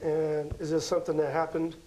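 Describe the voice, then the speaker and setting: Male interview guest, American, fairly high and very mellow voice, casual explainer. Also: monotone, brisk, low-quality recording. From Las Vegas, United States. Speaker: guest astrology card reader.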